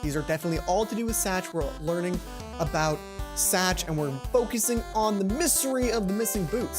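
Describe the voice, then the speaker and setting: A woman hosting a let's play, reading a visual novel aloud and adding chatty, informal, animated asides. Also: on the low side. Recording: music behind the voice. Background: game music.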